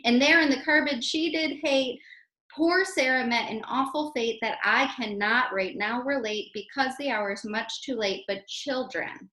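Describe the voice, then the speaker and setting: An American woman reading poems aloud to children in an expressive way, speaking directly to her young listeners. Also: high-pitched, a little slow. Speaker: children's poem reader and teacher. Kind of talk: animated read-aloud for children. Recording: roomy, echoey sound.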